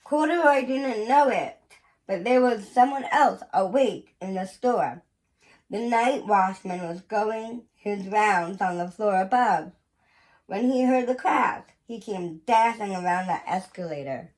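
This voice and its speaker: Woman, very slow pace, mid-pitched, expressive children's read-aloud, deliberate pauses. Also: poor audio. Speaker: museum educator reading aloud.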